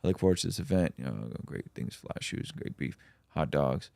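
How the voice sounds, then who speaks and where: A man's voice: very deep voice, expressive, moderate pace, creaky, a little mellow, no room sound. A man, a native Russian speaker, hosting a casual livestream where he reads out chat questions and answers them with plenty of ums.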